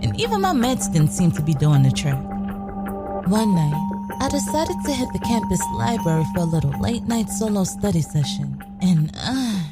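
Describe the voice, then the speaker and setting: A woman playing a sly, brash young woman killer in an audio drama, telling her story in a sassy, profane, colloquial way. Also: medium pitch. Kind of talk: scripted first-person narration, audio drama.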